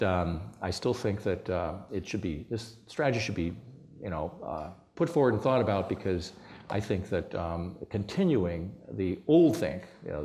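A man with a very low voice gives an academic talk in a lecture room. He hesitates often, filling pauses and restarting his sentences as he thinks aloud. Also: a little mellow. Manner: hesitant, many fillers and restarts.

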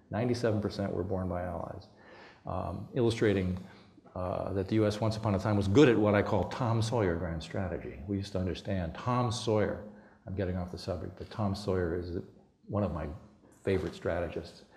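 Deep-voiced man lecturing with many fillers. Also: a little mellow. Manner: hesitant, many fillers and restarts. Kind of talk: academic talk to an audience. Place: lecture room.

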